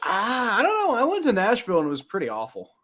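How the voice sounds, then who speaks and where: Sports podcast co-host, male, slightly high pitch, mild Kentucky accent, opinionated, stammers into his points. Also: huge pitch swings, fast, fairly bright tone. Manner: blunt, opinionated, stammering repeats. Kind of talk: casual sports chat.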